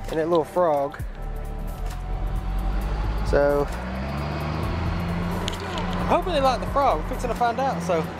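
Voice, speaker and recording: A man, chatting casually to camera for his fishing vlog outdoors, with relaxed fillers. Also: sits high, a little slow, pauses often, over loud music. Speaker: fishing vlogger. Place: outdoors by a pond and in a yard. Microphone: action camera, head-mounted or handheld. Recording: faint outdoor noise.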